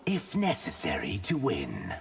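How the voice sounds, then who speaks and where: An actor playing an earnest reptilian shapeshifter warrior who speaks formally as a royal emissary in a fighting game. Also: male voice, low-pitched, wildly swooping pitch, unhurried, vocal fry, long reverb tail, loud music behind the voice, exaggerated voice. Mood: guarded, firm. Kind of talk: video game fight banter acting.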